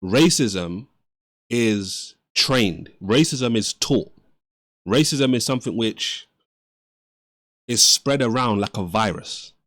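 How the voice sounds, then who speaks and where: A man commenting on a clip in an earnest, moralizing and measured way. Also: fairly low pitch, lively intonation, fairly bright tone, dry recording, clean studio sound. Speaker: reaction commentator. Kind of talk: reflective reaction commentary.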